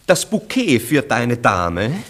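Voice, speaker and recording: An actor playing a gentleman friend who is dry, discreet and formally polite, in a German radio play. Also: medium pitch, huge pitch swings, slow pace, full character voice. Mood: dry, probing.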